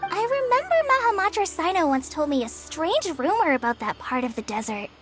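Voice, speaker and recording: A modest, helpful teenage ranger girl with a high, lilting voice. She is polite and hesitant, with game music clearly behind her. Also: big pitch swings, brisk, fairly bright tone, full character voice. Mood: earnest, unsure. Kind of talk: video game cutscene acting.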